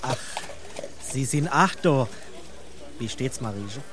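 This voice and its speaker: Naive young journeyman, mid-pitched voice, Darmstadt dialect, evasive stage-comedy delivery. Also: expressive, slow, background noise. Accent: Hessian, Darmstadt dialect.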